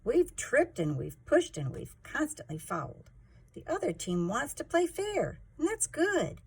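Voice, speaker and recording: A woman's voice: high-pitched, wildly swooping pitch, unhurried, gravelly, darkish tone, pitched above the performer's own voice, exaggerated voice. A woman voicing fed-up young teammates in a children's storybook, firm and growling.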